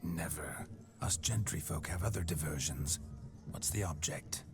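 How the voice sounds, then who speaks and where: A man's voice: very deep voice, unhurried. A rakish, boisterous nobleman spirit. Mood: jovial. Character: brash, rakish nobleman's spirit possessing a man. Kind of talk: video game cutscene dialogue. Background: music.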